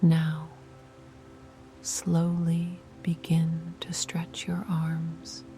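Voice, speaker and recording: A woman whispering soft, soothing guided meditation instructions. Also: sits low, completely flat delivery, drawn-out words. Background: music.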